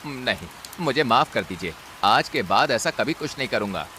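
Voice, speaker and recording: A man's voice: medium pitch, lively intonation, normal speed, pushed and bright. A man voicing a hot-tempered forest animal who begs in a whining, pleading way, in a Hindi cartoon. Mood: remorseful. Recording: heavy rain noise behind.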